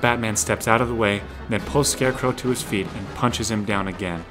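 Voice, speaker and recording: A man's voice: lowish voice, brisk. A man narrating a scripted audio drama, describing the action as the narrator. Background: music.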